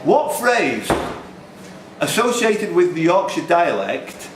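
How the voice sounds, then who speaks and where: A man's voice: a little high, big pitch swings, slow pace. A man hosting a live pub quiz, reading out questions and teasing contestants with jokey banter. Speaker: quiz host. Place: pub, indoors. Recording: echoey, noisy pub room.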